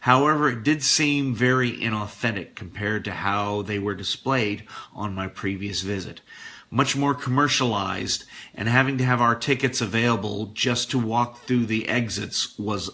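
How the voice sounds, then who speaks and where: A male radio host with a fairly low voice and a bright, forceful edge. He reads a listener's letter aloud with expression, slipping in occasional off-script asides. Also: normal speed.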